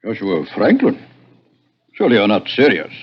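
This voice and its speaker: A portly, crooked private eye, all unctuous courtesy, his husky voice swinging dramatically up and down. It is vintage radio mystery acting, heard on a muffled old recording. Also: on the low side, huge pitch swings, moderate pace, full character voice. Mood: courtly disbelief. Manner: unctuous, courtly, formal phrasing. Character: very fat, shady, suavely courteous male private detective.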